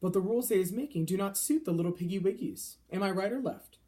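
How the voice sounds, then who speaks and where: A man voicing a big, friendly, gently indignant giant from a children's storybook, speaking in quaint broken grammar with mangled words. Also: high-pitched, fast, voice pushed up, close to an everyday voice. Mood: wry, firm.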